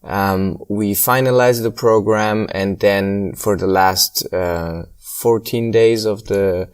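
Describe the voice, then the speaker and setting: A man in his thirties from Switzerland, a circus acrobat being interviewed, speaking English with hesitant pauses and slightly phrasing. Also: on the low side, fairly even intonation, smooth. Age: 30s. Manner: hesitant, many ums, slightly non-native phrasing. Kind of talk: casual interview conversation.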